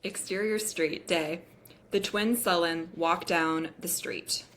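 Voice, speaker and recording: A woman's voice: on the low side, steady tone, takes it slowly, fairly bright tone, a little hiss or hum. A woman reading the stage directions of a script aloud as scripted narration at a table read.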